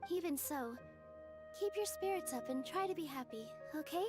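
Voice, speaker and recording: A tiny fairy sidekick from a game, extremely high and squeaky like a small child. The delivery is bubbly, earnest and expressive, with music playing behind. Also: female voice, moderate pace. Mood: earnest, encouraging. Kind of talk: video game character dialogue.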